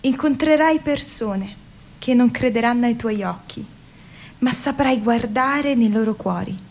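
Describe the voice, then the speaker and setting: A lyrical female oracle declaims in a slightly high voice. Her delivery is solemn and poetic, like a prophecy recited in a radio play. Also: a little slow. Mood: solemn, prophetic. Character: lyrical female oracle foretelling a wanderer's future.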